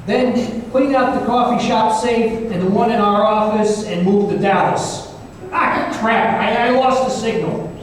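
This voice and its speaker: Radio play detective, played by a man: high voice, wry and conversational, heard far from the mic in a reverberant hall. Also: fairly even intonation, measured pace. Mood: wry, urgent. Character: wisecracking male detective recounting a supernatural gunfight. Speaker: actor in a staged radio play. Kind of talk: old-time radio drama storytelling. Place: live performance in a reverberant hall. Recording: very echoey hall, distant mic, faint noise.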